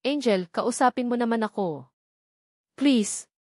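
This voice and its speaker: A woman voicing a possessive husband in a romance audiobook, soft-spoken and earnest, pleading yet insistent. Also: on the high side, takes it slowly, dry recording, clean studio sound. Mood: coaxing. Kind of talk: dramatized romance audiobook dialogue.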